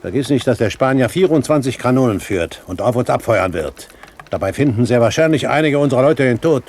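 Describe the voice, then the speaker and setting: An actor playing an elderly gentleman pirate captain in a German radio play, courteous, composed and commanding, with formal, clipped delivery. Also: mid-range voice, animated, a little fast. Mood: cool, commanding. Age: older. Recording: 1970s radio drama with background noise.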